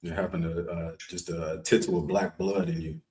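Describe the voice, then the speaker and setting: A man's voice: sits low, little pitch movement, moderate pace, a little mellow, roomy sound, distant mic. A man in the audience of a class, adding brief affirming remarks.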